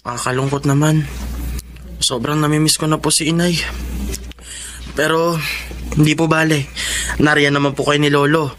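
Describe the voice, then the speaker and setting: A man playing a humble, bullied high school boy in a Filipino radio drama, speaking politely and plaintively. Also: level tone, moderate pace, long pauses, a bit bright, slight background noise, lightly acted. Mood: respectful, wistful.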